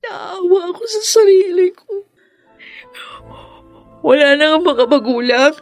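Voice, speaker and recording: A wounded young woman in a radio melodrama. Her voice is high and plaintive, heavy with sorrow. The sound is dry and close. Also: lively intonation, measured pace, no room sound, faint music behind. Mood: sorrowful, hurt. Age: young adult. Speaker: radio drama actor. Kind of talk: Tagalog radio drama acting.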